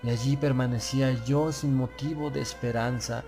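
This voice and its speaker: A man's voice: medium pitch, fairly even intonation, moderate pace. Solemn male horror narrator over prominent music. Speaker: audiobook narrator. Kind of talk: scripted narration of a gothic horror tale, in the first person. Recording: music bed under the voice.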